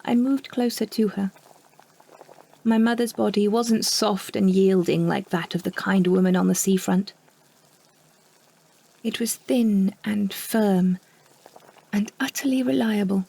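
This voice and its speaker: A woman narrating a scripted first-person story in a measured, reflective manner. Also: medium pitch, fairly even intonation, creaky, dark tone, breathy edge. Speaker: solo performer narrating a story.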